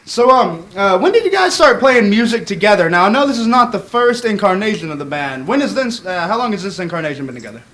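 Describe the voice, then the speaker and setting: A man's voice: sits high, very animated, fast, few pauses, fairly bright tone. A young man hosting a local talk show from a small bar, cracking crude jokes. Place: small bar venue, indoors.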